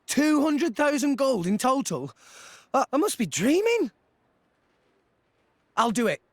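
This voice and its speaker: An actor voicing an eager, energetic young salvager. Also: male voice, very high-pitched, slow pace, no echo, heavily acted. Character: cheerful young male salvager. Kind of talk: video game voice acting.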